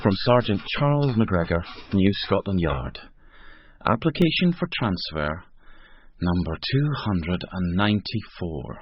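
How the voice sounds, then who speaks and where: An actor playing a long-suffering police sergeant formally narrating a transfer letter in a radio drama, resigned in manner. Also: male voice, on the low side, husky, full character voice. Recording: dull, faintly noisy recording.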